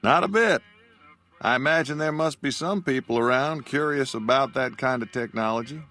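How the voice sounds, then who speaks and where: A man's voice: medium pitch, moderate pace, sharp tone. A man voicing a weary old frontier shopkeeper who talks in a drawl. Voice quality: gravelly drawl. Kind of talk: video game dialogue.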